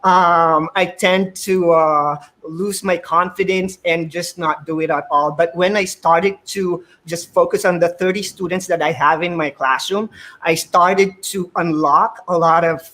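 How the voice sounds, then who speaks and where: A man's voice: a little high, steady tone, a little slow, sharp tone. A man with a Filipino accent, a math teacher and a native Filipino speaker, earnestly telling his story as a panel guest on a video call, often repeating words as he thinks. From Philippines.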